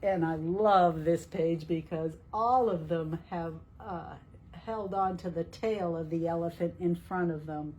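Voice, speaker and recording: A woman reading a picture book aloud to young children in a deliberate, teacherly way, asking questions as she goes. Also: fairly low pitch, a little mellow, rough recording. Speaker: children's storytime reader at a library.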